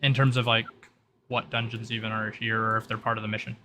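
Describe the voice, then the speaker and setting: A man's voice: on the low side, little pitch movement, fast, sharp tone, a little hiss or hum. A man narrating as game master, explaining the rules and briefing players in piecemeal, hesitant phrases.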